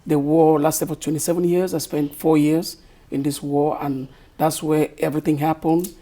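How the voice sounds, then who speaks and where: A middle-aged man from Cameroon with a Cameroonian accent, answering interview questions slowly and thoughtfully, often searching for words. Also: a little high, flat delivery, mellow. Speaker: author guest.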